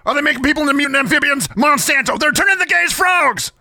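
An unhinged male conspiracy radio host rants in a parody talk show. The voice is extremely high and rattles along very fast, frantic and rambling, in a dry close sound. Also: pushed and bright, no echo, heavily acted. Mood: manic ranting.

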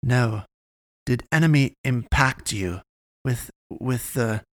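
A man reading a story aloud, voicing a cold, commanding woman who speaks in halting, broken phrasing. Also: rumbling low, no room sound, clean studio sound.

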